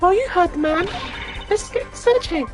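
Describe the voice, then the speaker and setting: A woman's voice: very high-pitched, lively intonation, normal speed, over music, voice pushed up. A woman voicing a grumpy, bossy young female detective in a video game, scolding and exasperated. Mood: sardonic, bossy.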